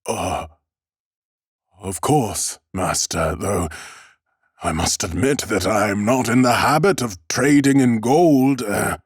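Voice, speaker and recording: A man's voice: lowish voice, slow pace, breathy edge, no room sound, studio quality. A man voicing a timid, humble bookseller in an audiobook, speaking with hesitant, very polite deference. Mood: deferential. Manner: hesitant, bowing politeness, stammering. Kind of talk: audiobook character acting.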